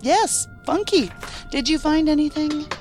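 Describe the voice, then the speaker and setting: A woman playing a brisk, skeptical federal investigator in an audio drama, terse, dry and questioning. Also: sits high, expressive, darkish tone, faint music behind. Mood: terse, probing.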